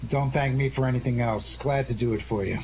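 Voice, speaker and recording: A man's voice: medium pitch, fairly quick, natural voice. A man playing a genial, gallant detective in a 1940s-style radio drama, with an affable, playful manner. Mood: affable, charmed. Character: genial, gallant male adventurer detective, easily charmed. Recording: faintly noisy radio drama recording.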